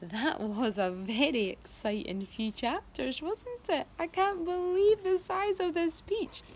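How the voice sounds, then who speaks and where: A woman teacher reading a children's novel aloud to her class, expressive storytelling. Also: high voice, slow pace, a little hiss or hum. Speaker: primary school teacher.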